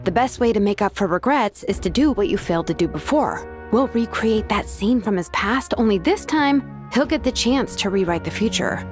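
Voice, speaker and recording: Woman acting a confident, expressive young fighter. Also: mid-range voice, moderate pace, few pauses, close to an everyday voice. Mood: confident, curious. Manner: casual, expressive. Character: confident, straight-talking young female fighter with a hammer. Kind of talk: video game voice acting. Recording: music playing behind.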